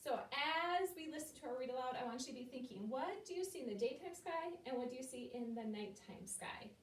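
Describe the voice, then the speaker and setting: Patient teacher woman, roomy distant sound. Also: lively intonation. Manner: slow, patient explaining with questions to kids. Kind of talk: classroom lesson talk to young students. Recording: roomy and distant, faint rumble.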